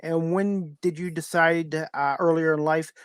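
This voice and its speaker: A man's voice: a little high, flat delivery, unhurried, smooth, dry recording, studio quality. A man hosting a casual talk show interview, chatty and agreeable with his guest. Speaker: podcast host, interviewer.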